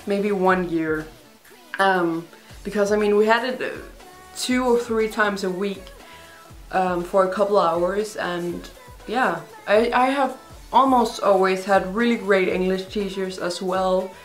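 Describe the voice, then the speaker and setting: A woman's voice: mid-range voice, unhurried. Danish-accented young woman in a roomy room. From Denmark. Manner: chatty, rambling, self-deprecating with small laughs. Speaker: vlogger. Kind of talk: casual Q&A vlog. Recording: roomy echo, faint music behind.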